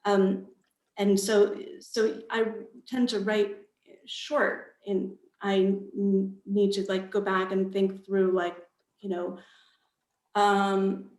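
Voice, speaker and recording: A woman giving a reflective answer as an author on a panel, halting and thoughtful, in a roomy, echoing recording. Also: mid-range voice, level tone, darkish tone. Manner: halting, thoughtful, pauses mid-phrase. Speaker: author on a panel, with theater background.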